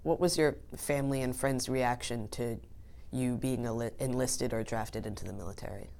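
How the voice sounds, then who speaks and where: A woman conducting an oral history interview, asking plain, measured questions. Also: rumbling low, steady tone, gravelly, mellow.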